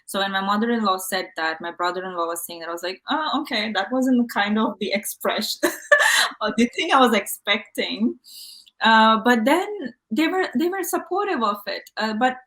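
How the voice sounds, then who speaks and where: A woman's voice: on the high side, very animated, moderate pace, bright, forceful tone. A woman from Kerala with an Indian accent, a native Malayalam speaker, chatting candidly and expressively as an interview guest. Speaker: talk show guest. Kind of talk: casual interview, telling personal stories.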